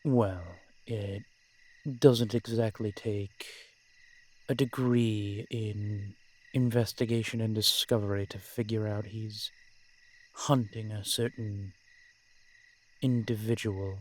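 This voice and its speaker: A man playing a courteous, centuries-old vampire in an audio roleplay, speaking in a measured, formal, genteel way with deliberate pauses. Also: fairly low pitch, steady tone, darkish tone, slight background noise. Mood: guarded, knowing. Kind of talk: scripted audio roleplay addressed to the listener.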